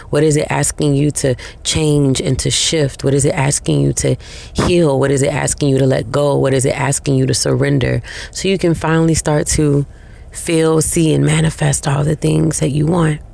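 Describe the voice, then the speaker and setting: A woman guides a meditation in short, unhurried phrases with long pauses. Her voice is dark and relaxed, and it crackles with heavy vocal fry. The delivery is soothing throughout. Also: low voice.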